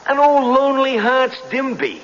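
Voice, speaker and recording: An actor playing a jittery, defensive young hipster crook who protests in excitable beatnik slang, in 1970s radio drama style. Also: male voice, very high-pitched, moderate pace, heavily acted. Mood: accusing, gossipy. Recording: dull, noisy old radio recording, reverberant hall.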